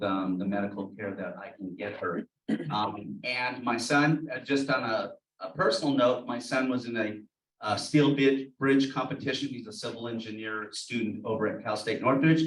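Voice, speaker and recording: A middle-aged man introducing himself on a panel, earnest and rambling. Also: medium pitch. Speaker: disclosure report consultant, panelist. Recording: echoey room, distant mic.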